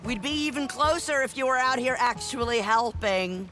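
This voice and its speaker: Bright, sardonic fighter sidekick. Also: female voice, fairly high pitch, animated, normal speed, soft background music. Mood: sarcastic. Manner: sardonic, blunt. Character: brash, sarcastic, impatient fighter sidekick. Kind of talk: comic video game cutscene acting.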